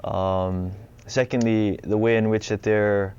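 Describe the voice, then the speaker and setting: A young man speaking as a guest on a TV studio panel, calm and earnest, steady with fillers. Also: on the low side, takes it slowly, smooth, lo-fi sound. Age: young adult. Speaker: student and teacher, panel guest.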